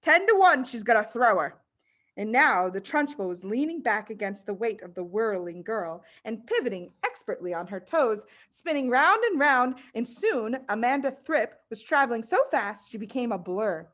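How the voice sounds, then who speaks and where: Expressive, higher-voiced woman reading a children's story. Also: normal speed, hardly any pauses. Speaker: audiobook reader.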